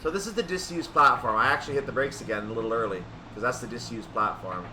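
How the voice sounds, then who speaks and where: A man's voice: medium pitch, fast, bright, forceful tone, far from the mic, slight background noise, low-quality recording. A man giving casual gameplay commentary on a train simulator, thinking aloud and correcting himself as he goes. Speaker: gaming video host.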